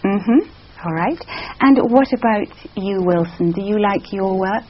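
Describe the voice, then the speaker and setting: A woman examiner asking interview questions in a measured, encouraging way with frequent backchannels. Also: medium pitch, breathy edge. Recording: dull lo-fi sound.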